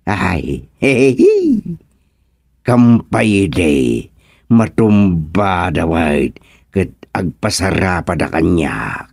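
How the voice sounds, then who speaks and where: Animated, slow-talking Ilocano village man. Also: deep voice, gravelly, no room sound, clean studio sound, full character voice. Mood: muttering, playful. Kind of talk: Ilocano radio serial comedy acting.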